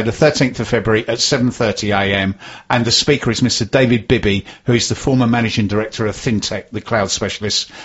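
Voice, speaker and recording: A man's voice: lowish voice, slightly rough, dull audio. A man hosting a local radio show, reading announcements and tweets in a rambling, good-humoured way. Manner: warm-hearted, rambling, stumbles over words. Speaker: radio host. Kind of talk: casual radio chat with announcements.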